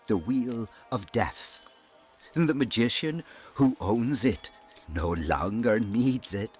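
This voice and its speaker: An actor playing a cold, gloating regime villain in an audio drama, speaking with mock-polite, deliberate menace. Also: male voice, mid-range voice, animated, faint music behind. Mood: sinister control.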